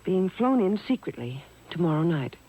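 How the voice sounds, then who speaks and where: Frightened young blonde, coy, hesitant, breathy husky voice, vintage radio drama. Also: female voice, lowish voice, big pitch swings, normal speed, dark tone, rough recording. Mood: hesitant, evasive. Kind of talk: 1950s radio drama acting.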